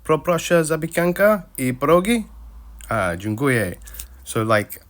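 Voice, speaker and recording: A man's voice: a little high, sing-song, measured pace. A young British man narrating a travel vlog with dry, self-mocking humor. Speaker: travel vlogger.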